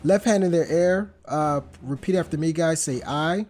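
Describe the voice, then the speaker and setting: Stuffy-voiced chatty podcast host. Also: male voice, a little high, moderate pace, a little mellow, slight background noise. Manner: chatty, rambling with fillers and restarts. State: stuffy from a cold. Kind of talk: casual podcast chat.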